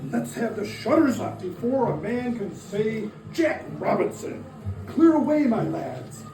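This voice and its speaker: A man playing a jovial old gentleman boss in a dramatic stage reading, calling out heartily. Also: very animated, measured pace, over music. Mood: jovial, hearty. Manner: hearty, booming calls. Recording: echoey room, distant mic, noisy.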